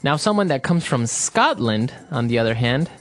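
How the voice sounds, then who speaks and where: Upbeat co-host from Ecuador, faint music. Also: male voice, medium pitch, big pitch swings, moderate pace. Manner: upbeat, affirming, frequent "that's right". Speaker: podcast co-host, English teacher. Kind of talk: scripted teaching dialogue.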